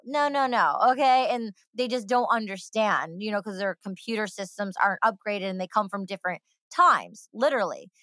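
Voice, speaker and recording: A woman's voice: medium pitch, a bit bright, dry recording. A woman hosting a podcast, talking enthusiastically and emphatically in a rambling, casual way. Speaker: podcast host. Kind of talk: casual interview chat.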